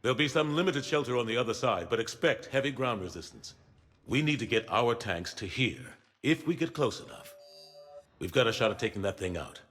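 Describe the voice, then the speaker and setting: An actor playing a determined, hard-bitten admiral in a video game, speaking with clipped military authority. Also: male voice, mid-range voice, expressive, a little fast, a bit bright, soft background music. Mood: commanding, grim. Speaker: admiral briefing troops. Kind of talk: video game cutscene acting.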